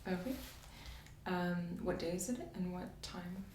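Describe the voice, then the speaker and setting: A soft-spoken woman doing an ASMR medical roleplay as a doctor, giving gentle, polite step-by-step instructions. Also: on the low side, a little slow, recorded from a distance. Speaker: ASMR performer playing a doctor. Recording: roomy sound, off-mic, faint hiss.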